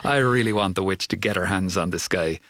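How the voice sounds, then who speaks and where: A man's voice: low-pitched, fairly quick, fairly bright tone. A man from Cork with an Irish accent, a filmmaker answering interview questions thoughtfully, often repeating words and restarting his sentences. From Ireland (Cork). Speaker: filmmaker guest.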